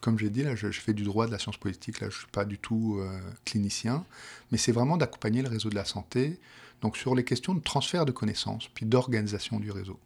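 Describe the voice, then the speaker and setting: A man's voice: lowish voice, brisk, vocal fry, a little mellow, slightly rough. A middle-aged man from France with a Parisian accent, explaining his work in an interview, hesitant with many restarts. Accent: Parisian French. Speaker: project manager for a provincial health plan.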